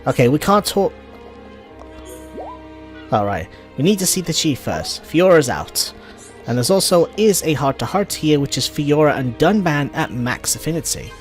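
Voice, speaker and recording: A man's voice: a little high, big pitch swings, moderate pace. A man hosting a casual video game walkthrough, informal and thinking aloud as he plays. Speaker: let's play host. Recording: game music behind the voice. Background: video game music.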